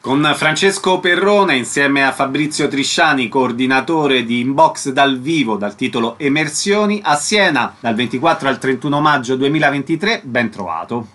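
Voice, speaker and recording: A man's voice: mid-range voice, lively intonation, normal speed, few pauses, fairly bright tone, soft background music. A man hosting a radio interview, introducing guests and putting short questions to them.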